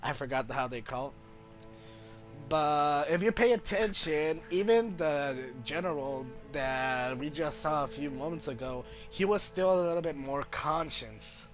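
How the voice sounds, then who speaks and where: A man's voice: on the high side, animated, unhurried. A man giving casual playthrough commentary, hesitant, thinking aloud and repeating himself. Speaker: gaming video host. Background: game music.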